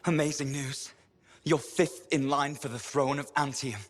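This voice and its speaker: A man's voice: medium pitch, fairly even intonation, unhurried, lightly acted. A man voicing a fussy, pompous male noble who loves gossip, in a video game cutscene.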